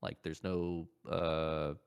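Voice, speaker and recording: A man with a low voice speaks as a podcast co-host. His delivery is informal and conversational, like casual chat between friends. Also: flat delivery, extremely slow, vocal fry, smooth.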